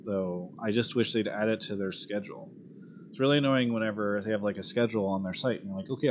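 Guy hosting an esports call-in, casual and blunt, kinda muffled lo-fi sound with background noise. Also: on the low side. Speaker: host of an esports call-in show. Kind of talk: casual live talk show chat. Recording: dull lo-fi audio with clear background noise.

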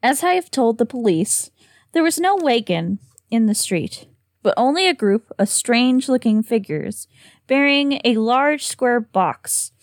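A woman's voice: on the high side, lively intonation, measured pace, no echo, studio quality. A woman reading a horror story aloud with a slight lisp on s sounds, sometimes tripping over words. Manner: giggly, trips over words. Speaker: reader and co-host.

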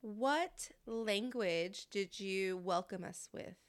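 A woman in her thirties hosting a podcast in a casual, expressive, informal conversational way. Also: mid-range voice, slow pace. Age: 30s. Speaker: podcast host.

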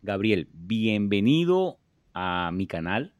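Low-voiced hesitant male host. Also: sing-song, takes it slowly, no room sound, muffled recording. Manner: hesitant, many fillers and restarts. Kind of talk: casual interview. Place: home in the US, dry room.

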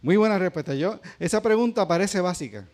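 A middle-aged Puerto Rican pastor preaches in a high, light voice. He keeps it conversational and keeps putting questions to his congregation. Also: lively intonation, a little fast, darkish tone. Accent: Puerto Rican Spanish. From Puerto Rico. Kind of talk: sermon.

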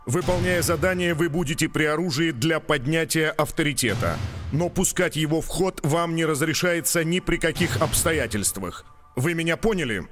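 An actor playing a stern, formal superior giving admonishing orders in a radio drama. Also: male voice, on the high side, moderate pace, over music, close to an everyday voice. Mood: stern, warning. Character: stern superior briefing an agent.

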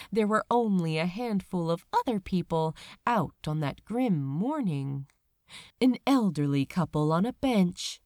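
Woman reading a story aloud, takes her time, very animated pitch, dramatic pauses, dry sound. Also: no room sound. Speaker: audiobook-style reader of fan fiction. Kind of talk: scripted story narration.

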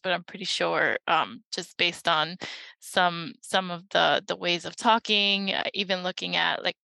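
A woman from New York speaking reflectively and personally as a library guest, pausing often. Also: on the low side, creaky, pushed and bright, no room sound. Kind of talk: casual panel talk.

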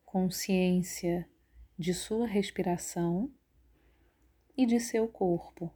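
A woman leading a guided yoga nidra relaxation in a calm, measured, instructive way. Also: lowish voice. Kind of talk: guided relaxation meditation narration.

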